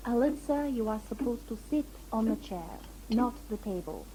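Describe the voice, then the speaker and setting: An actress plays a composed noblewoman leading her companions in an audio play. She is formal and commanding, with a fairly high voice. The amateur recording is clearly noisy. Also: lively intonation, moderate pace, lightly acted. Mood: firm, polite. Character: composed, regal noble lady leader. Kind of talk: fan audio sci-fi drama acting.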